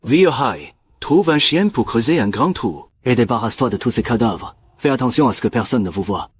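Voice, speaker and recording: A man voicing a cold, ruthless cat gang boss in a dubbed animated series, commanding and menacing. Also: medium pitch, moderate pace, breathy edge, dry recording.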